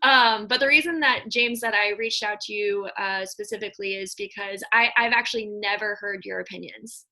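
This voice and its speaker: Woman, American accent, bright forceful voice, podcast host, effusive, affectionate, informal conversation. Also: mid-range voice, moderate pace, few pauses, low-quality recording. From Nebraska, US. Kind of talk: casual conversational guest introductions.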